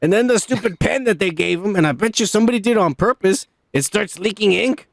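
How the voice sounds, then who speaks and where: A man's voice: sits high, brisk, gravelly, no room sound. A man hosting a podcast, ranting casually with plenty of swearing and mockery. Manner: profane, mocking rant. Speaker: podcast host.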